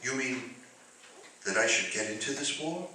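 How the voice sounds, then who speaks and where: A man's voice: mid-range voice, animated, moderate pace, bright, forceful tone. A man playing an earnest, good-hearted husband in old-time radio drama style. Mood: hesitant. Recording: echoey stage, distant mic, faint noise.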